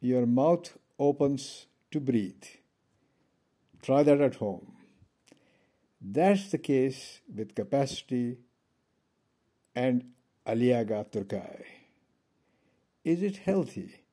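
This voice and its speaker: A man from India giving a pointed, measured speech from a conference podium. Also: medium pitch, lively intonation, warm tone. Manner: measured, pointed, with pauses between phrases. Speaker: conference speaker.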